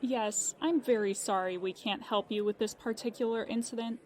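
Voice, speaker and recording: An actress playing a polite, unflappable insurance claims agent in an audio drama, precise and businesslike. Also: female voice, on the high side, normal speed, darkish tone, some chatter behind, close to an everyday voice. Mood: polite, apologetic. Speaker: claims agent on a phone call.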